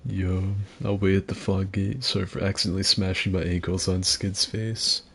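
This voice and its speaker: A man streaming and chatting casually, rambling and self-deprecating, with a gruff voice. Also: low voice, level tone, moderate pace, a bit bright. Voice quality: gruff, gravelly. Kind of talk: casual chat with viewers.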